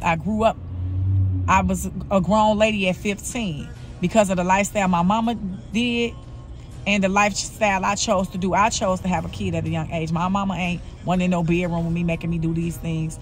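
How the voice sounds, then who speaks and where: Woman in her 40s, earnest personal vlogger, soft music under her voice. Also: fairly low pitch. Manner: earnest, confessional, repeats herself. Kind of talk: candid personal vlog monologue.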